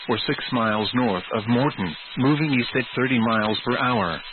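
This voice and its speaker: A synthesized male voice reads scripted emergency weather bulletins in a formal, measured, impersonal way as an automated radio announcer. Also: medium pitch. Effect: synthesized text-to-speech voice. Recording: tinny narrowband weather radio, clear static noise.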